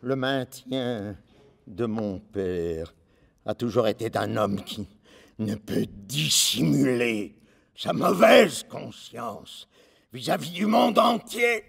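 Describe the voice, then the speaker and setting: A man's voice: medium pitch, animated, takes it slowly, gravelly, a bit bright, breathy edge, full character voice. An actor playing a brooding, guilt-burdened man in a solemn theatrical radio drama. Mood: anguished, declamatory. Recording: reverberant hall, distant radio drama.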